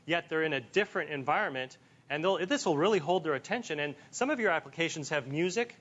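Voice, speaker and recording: A man's voice: on the high side, lively intonation, fast, fairly bright tone, highs cut off. An enthusiastic man chatting casually as a doctor on a TV show. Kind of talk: casual studio chat.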